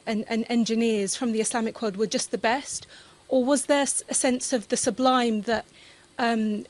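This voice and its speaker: A young woman from Algeria, an audience member, asking a thoughtful question earnestly, with some "um" pauses. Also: fairly high pitch, a little mellow.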